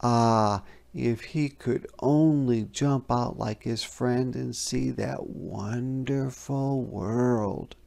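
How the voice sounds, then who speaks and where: A storybook reader giving an expressive, deliberate, dramatic read-aloud narration for children. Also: medium pitch, vocal fry.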